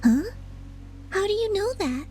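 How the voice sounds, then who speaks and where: Very high, squeaky little creature sidekick. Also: female voice, animated, unhurried, warm tone, slight background noise. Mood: curious. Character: small, cute flying female creature companion, worried and curious. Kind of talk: video game character acting.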